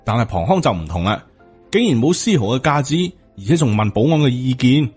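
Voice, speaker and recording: A man's voice: mid-range voice, sing-song, a little fast, a little mellow, slightly rough. Male audiobook narrator, Hong Kong Cantonese accent, scripted storytelling over background music. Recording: music under the voice.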